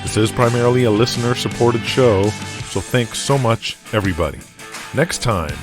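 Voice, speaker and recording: A man reads scripted narration as host of an audio drama. His voice sits a little low, and music plays clearly behind him.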